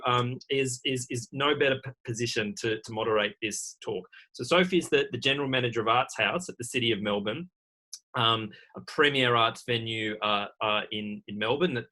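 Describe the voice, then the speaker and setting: A man giving an informal welcome as event host, with halting, stop-start phrasing. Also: mid-range voice, bright, forceful tone, rough recording.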